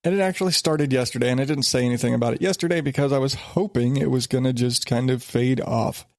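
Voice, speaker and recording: A man's voice: medium pitch, fast, gravelly, a little mellow, no echo, clean studio sound. Stuffy-voiced man rambling on his podcast. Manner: rambling, exasperated, many restarts. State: stuffed up with a sinus infection. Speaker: podcast host. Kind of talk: casual solo podcast.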